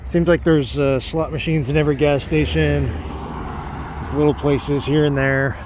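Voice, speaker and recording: A man's voice: on the high side, level tone, moderate pace. Man, worn voice, rambling vlog to camera; dull camera mic, traffic noise. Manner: rambling, self-doubting, many ums and restarts. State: voice worn from singing. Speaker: travel vlogger. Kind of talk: casual vlog talk to camera. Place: outdoors by roads and stores. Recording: slightly dull outdoor camera audio with clear street noise.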